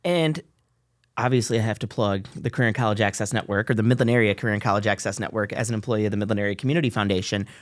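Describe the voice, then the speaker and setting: Fast-talking, upbeat male interview host. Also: on the low side. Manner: upbeat, enthusiastic, full of praise. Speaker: podcast host. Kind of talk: casual interview hosting.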